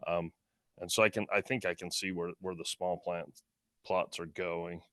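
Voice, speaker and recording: A man with a loud, smooth, somewhat low voice asks a follow-up question in a meeting. His tone is clear and resonant. The recording is dry. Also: flat delivery, moderate pace, no echo. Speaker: meeting participant. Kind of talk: meeting discussion. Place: conference room.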